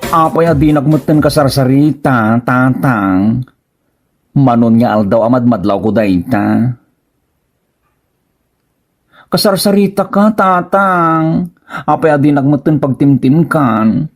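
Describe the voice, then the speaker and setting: A man acts out a young transgender Ilocano in a radio comedy drama. The delivery is slow and plaintive, at a middle pitch, drawn out and pleading, with an Ilocano accent. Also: lively intonation. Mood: puzzled. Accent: Filipino, Ilocano. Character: pleading transgender adult child of stern father.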